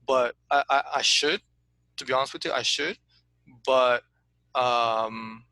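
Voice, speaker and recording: A man's voice: mid-range voice, slow pace, pushed and bright, no echo. A man coaching an insurance agent in a casual consulting chat, eager and full of restarts. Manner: eager, repeats words when starting, says "to be honest with you".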